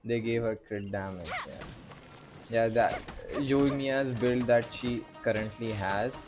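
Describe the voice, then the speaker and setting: A man's voice: mid-range voice, slow pace, over music. A man giving casual, muttering and sometimes profane commentary while playing a game. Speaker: gamer commentating on playthrough.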